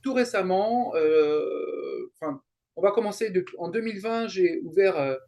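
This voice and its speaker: Higher-voiced man explaining his work haltingly. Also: animated, vocal fry, a little mellow. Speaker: interview guest, translator and event coordinator. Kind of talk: casual conversational interview.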